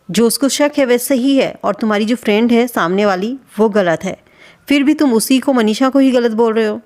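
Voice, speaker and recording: Higher-pitched woman giving reproachful commentary. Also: darkish tone. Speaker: video channel host. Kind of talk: spoken commentary on vlogger gossip.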